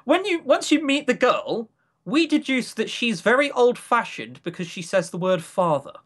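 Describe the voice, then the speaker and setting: A British man chats casually as a podcast co-host, his pitch swinging widely as he goes. He rambles through his opinions and pokes fun at himself along the way. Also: very animated, normal speed, fairly bright tone. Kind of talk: casual chat about visual novels.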